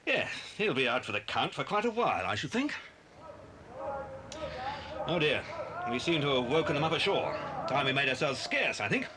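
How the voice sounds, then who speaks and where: An actor playing a brisk, hearty British adventurer in a children's audio drama, jovial and clipped in his speech. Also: fairly high pitch, very animated, sharp tone. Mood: brisk, commanding. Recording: noisy old cassette story tape.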